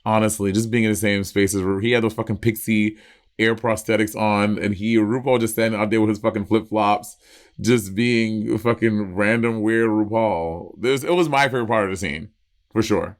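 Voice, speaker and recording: A man in his thirties with an American accent co-hosting a casual review chat, retelling moments in an animated way with acted-out quotes. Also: fairly low pitch, moderate pace. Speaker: podcast co-host. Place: small indoor room at home.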